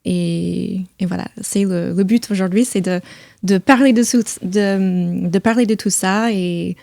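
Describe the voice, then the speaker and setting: A middle-aged woman with an American accent chats casually on a podcast. Her mid-pitched voice has noticeable creak, and it is captured close and dry. She often repeats words as she looks for the next phrase. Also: unhurried, darkish tone, no room sound. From United States (Missouri). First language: English. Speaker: podcast host.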